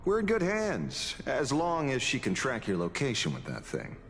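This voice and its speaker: A man voicing a sly retired ranger turned detective in a video game, wry and theatrical with unhurried confidence. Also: mid-range voice, huge pitch swings, fairly bright tone, a little hiss or hum. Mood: teasing, confident. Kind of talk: dramatic video game voice acting.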